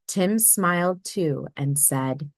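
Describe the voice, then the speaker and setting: A woman reading a children's picture book aloud in an expressive storybook manner. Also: deep voice, slow, no echo. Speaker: read-aloud narrator.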